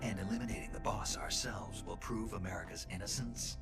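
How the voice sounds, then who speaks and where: A man playing a terse, gruff elite soldier with a gravelly voice, wary, in a dramatic game cutscene. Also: on the low side, brisk, lightly acted. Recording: prominent music behind.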